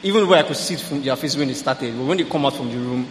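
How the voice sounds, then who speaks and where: A man's voice: mid-range voice, slight background noise. Young man talking casually in an echoey hall. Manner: relaxed, halting, uses fillers. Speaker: panel participant. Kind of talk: casual sharing. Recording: echoey hall with faint ambience.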